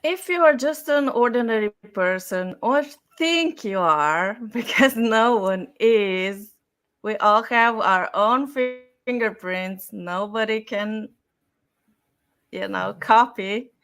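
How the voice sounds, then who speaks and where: A woman gives explanatory answers as an expert interview guest. She speaks very slowly and deliberately at a medium pitch, setting out each point in short, emphatic phrases. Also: a bit bright. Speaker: style and communication expert guest.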